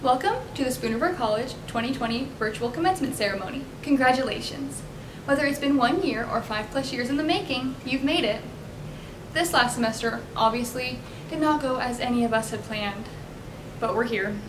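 Young American woman, echoey speech recording. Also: brisk. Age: young adult. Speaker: student graduation speaker. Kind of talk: scripted commencement speech. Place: indoor multipurpose room at podium. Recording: echoey room with clear rumbling noise.

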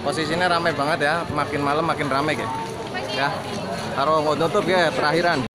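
A man's voice: on the high side, steady tone, a little fast, over a noisy crowd. A man in his thirties with a Banyumasan Javanese ngapak accent, vlogging casually from a crowded outdoor night food market into a phone held at arm's length. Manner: chatty, mixes Indonesian with ngapak Javanese, playful chanting. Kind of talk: casual vlog commentary. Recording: loud street noise outdoors.

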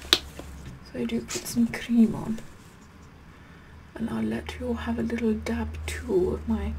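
A vain, imperious queen acted out by a woman in an ASMR roleplay, slipping between breathy whispers and soft speech. She talks very slowly in a fairly high voice, repeating words with sassy condescension. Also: low-quality recording.

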